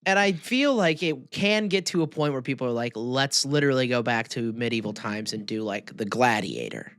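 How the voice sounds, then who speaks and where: A man's voice: medium pitch, sing-song, normal speed, fairly bright tone, smooth, no room sound, clean studio sound. Casual, slangy male podcast co-host. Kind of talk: casual comedic banter.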